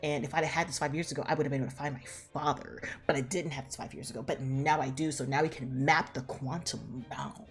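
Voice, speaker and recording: A woman acting out an earnest, self-important young activist daughter in an affected, deliberate way during a comic film retelling. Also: sits low, soft background music, close to an everyday voice.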